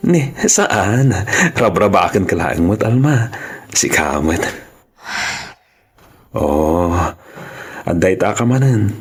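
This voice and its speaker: Male actor as playful, confident suitor, Filipino accent, deep voice, very animated intonation, drama delivery. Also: slow, pauses often, breathy edge, exaggerated voice. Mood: assured, playful. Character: confident, joking young suitor, devoted boyfriend. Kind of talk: radio drama acting.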